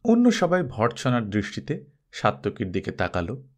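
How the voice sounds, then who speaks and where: Expressive male storyteller with lively pitch. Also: moderate pace. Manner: expressive, acts out dialogue. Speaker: story reader, narrator. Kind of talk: dramatized audiobook narration of literary fiction.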